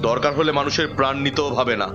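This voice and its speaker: A man's voice: medium pitch, fairly even intonation, quick pace, lightly acted. A man acting as a confident occult expert in an audio drama, explaining things like a storyteller. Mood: grave storytelling. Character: confident male occult expert recounting a battle with a djinn. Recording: muffled audio under prominent music.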